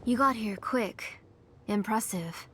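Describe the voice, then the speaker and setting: An actress playing a grieving, obsessed mother in a video game, speaking in a measured, solemn way that drops at times to a hush. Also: mid-range voice, slight background noise. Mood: grave, resolute. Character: grieving, obsessed mother determined to revive her lost daughter. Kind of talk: dramatic video game voice acting. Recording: music behind the voice.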